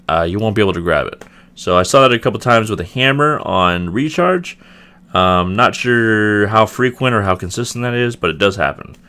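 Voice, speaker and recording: A young man talks through a video game in a casual, rambling way, hedging as he goes. His voice sits low but swings up and down a lot, with a slight rasp. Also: pushed and bright. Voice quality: slightly raspy. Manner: rambling, hedging, many ums and restarts. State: clearing throat, voice getting raspy. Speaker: gaming video host. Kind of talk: casual gameplay review commentary.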